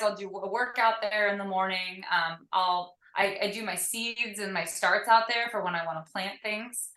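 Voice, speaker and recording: A woman guest in a casual video-call interview, talking in an energetic, upbeat way with frequent fillers from her tiny home. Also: mid-range voice, reverberant, poor audio. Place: tiny shipping-container home.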